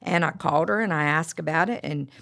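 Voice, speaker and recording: Middle-aged woman, devotional speaker, lowish pitch, hoarse from a cold, gravelly texture, conversational with stumbling repeats. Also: brisk, fairly bright tone. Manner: conversational, with frequent stammered repeats and "you know". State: froggy voice from a cold. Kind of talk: devotional talk with personal stories.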